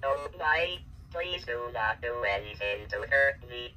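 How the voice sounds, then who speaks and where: A synthesized male voice speaking as a small, polite, earnest robot pet in a stilted monotone. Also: mid-range voice, unhurried. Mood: flat, polite. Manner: stilted, flat machine delivery. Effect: vintage robot speech synthesizer. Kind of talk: vintage speech-synthesizer robot voice. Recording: distant, noisy workshop recording.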